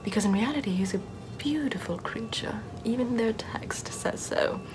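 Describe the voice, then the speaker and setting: A woman playing a sardonic witch healer in an ASMR roleplay, soft-spoken and often whispering in a conversational way. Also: fairly high pitch, moderate pace, close to an everyday voice. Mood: wry, confiding. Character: sardonic Puritan-era witch, chatty, scornful yet soothing healer. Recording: clear background ambient noise.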